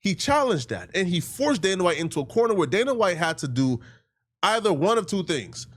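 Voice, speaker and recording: A man with a high voice delivers political commentary. His pitch moves widely as he talks. He is emphatic and mocking, using plenty of slang. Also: very animated, brisk.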